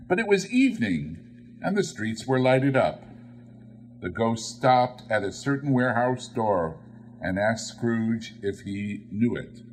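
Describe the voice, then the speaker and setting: A male storyteller reads classic fiction with theatrical expression. His medium-pitched voice swings widely in pitch and takes its time, with faint noise behind it. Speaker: audiobook narrator. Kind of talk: dramatic literary story reading.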